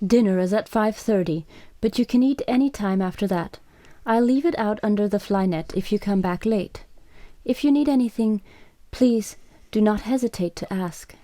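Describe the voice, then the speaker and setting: An actress plays a gracious Japanese innkeeper in a radio drama. Her voice is mellow and soft-edged, recorded dry and close, with formal, measured courtesy. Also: mid-range voice, no echo, lightly acted. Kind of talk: radio play acting.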